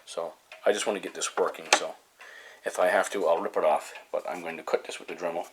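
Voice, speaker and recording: A man giving a casual hands-on repair demonstration, talking haltingly with frequent pauses mid-sentence. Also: sits low, fairly even intonation, noisy background. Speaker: hobbyist host of a repair video series.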